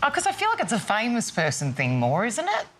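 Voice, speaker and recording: A woman's voice: on the high side, wildly swooping pitch, brisk, music in the background. An Australian woman comedian on a game show panel, chatting playfully and joking at her own expense. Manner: chatty, self-mocking. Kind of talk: playful banter.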